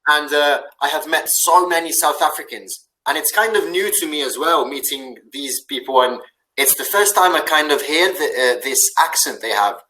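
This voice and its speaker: A man with a Northern English accent tutors on a video call in a bright, tense, slightly raised voice. He is friendly and loose, stumbling over repeated words and dropping in a quick "yeah" as he goes. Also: fairly high pitch, a little hiss or hum, rough recording. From born in Italy, raised in England. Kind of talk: casual online tutoring conversation.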